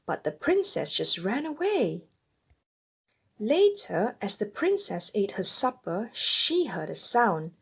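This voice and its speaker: A woman narrating a read-along storybook in an expressive way for young children. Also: medium pitch, slow pace, vocal fry, breathy edge. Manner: animated, addressing young children and parents.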